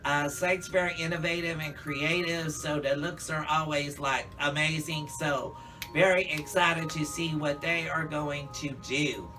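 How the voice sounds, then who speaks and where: A man's voice: a little high, steady tone, a little slow, bright, forceful tone, distant mic, faint music behind, poor audio. A man in a casual, campy monologue, chatting to viewers as a drag queen reviewer.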